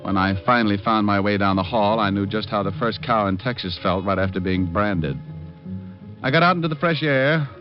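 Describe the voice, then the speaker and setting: Guy playing breezy american private eye, wry and teasing, low voice, old muffled radio show. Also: a little fast, close to an everyday voice. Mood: wry narration. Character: wisecracking, flirtatious male private detective. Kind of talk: 1940s-50s American radio drama acting, first-person narration. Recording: muffled old-time radio recording with music.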